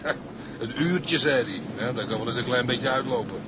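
An actor playing a gruff, loyal bosun, plain-spoken and firm, in a radio drama. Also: male voice, medium pitch, normal speed, background noise, natural voice. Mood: wry, concerned. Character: gruff, loyal male bosun, protective of his captain.

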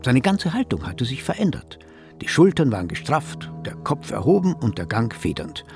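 A man's voice: medium pitch, wildly swooping pitch, moderate pace, creaky, darkish tone, faint music behind. An actor playing a self-assured, pompous male psychotherapist in a German radio play, speaking in elaborate, theatrical phrases. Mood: self-satisfied narration. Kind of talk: German radio play, dialogue and first-person narration.

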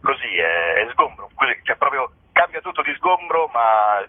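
A man's voice: mid-range voice, sing-song, normal speed, no room sound. Man on a narrow phone line, rambling. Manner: hesitant, rambling, self-correcting, jokey. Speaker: comedy performer and show presenter, interview guest. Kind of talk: spontaneous interview chat.

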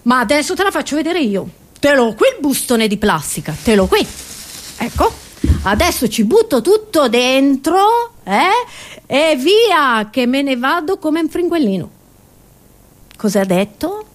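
Woman doing a little old granny, high voice, hard of hearing, rambles and grumbles, repeats stuff, comedy stage bit. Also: sing-song, a little slow. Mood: indignant, then teasing. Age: older. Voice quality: high-pitched old-lady voice. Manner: rambling, repetitive, stammering indignant grumbles. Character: chatty, grumbling, half-deaf elderly Italian grandmother. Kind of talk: comic stage monologue.

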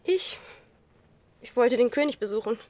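A teenage village girl in a radio drama, high-pitched and very lively in intonation. She is cheeky but guarded. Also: moderate pace, no echo. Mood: wary.

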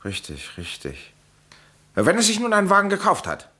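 An actor playing an eager, insistent amateur sleuth in a crime radio play, speaking with dramatic emphasis. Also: male voice, high voice, wildly swooping pitch, normal speed, pushed and bright, slightly rough, heavily acted. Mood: eager, arguing. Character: insistent amateur sleuth, a male playwright under suspicion. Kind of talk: vintage German crime radio play acting.

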